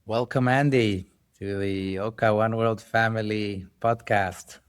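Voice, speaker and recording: A man hosting a podcast, welcoming his guest and speaking in a calm, reflective way. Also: on the low side, slow pace, smooth, no echo, soft background music. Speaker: podcast host.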